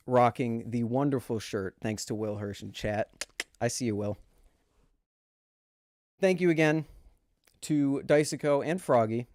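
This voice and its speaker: A man's voice: mid-range voice, darkish tone, no echo, studio quality. A man hosting an awards stream for a live chat, presenting in a theatrical, jokey way with mock exasperation. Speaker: awards show host.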